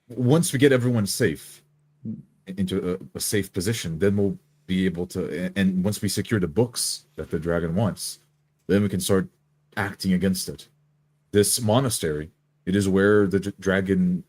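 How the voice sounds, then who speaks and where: An earnest fantasy adventurer, acted by a male player, with a deep voice recorded very dry. He lays out strategy haltingly and deliberately in a tabletop roleplay. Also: a little mellow, no room sound.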